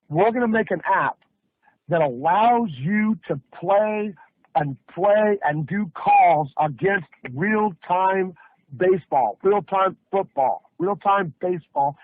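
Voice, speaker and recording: A man with a North American accent giving an emphatic, hyped sales pitch on a conference call, telling it like a story with rhetorical questions and folksy repetition. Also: measured pace, dry recording. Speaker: network marketing presenter. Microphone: phone or conference line. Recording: muffled, band-limited conference call audio.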